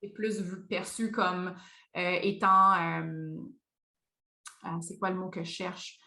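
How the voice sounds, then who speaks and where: A woman's voice: on the low side, fairly even intonation, takes it slowly, creaky, sharp tone. A woman hosting an interview as a speech-language pathologist, encouraging and reflective, sometimes searching for her words, on a video call. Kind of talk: conversational interview.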